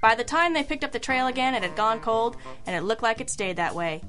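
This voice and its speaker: A woman's voice: on the high side, brisk, a bit bright, natural voice. A woman playing a wry, self-assured girl detective, narrating an old-school radio mystery in a dry, wisecracking manner. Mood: wry, brisk. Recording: music under the voice.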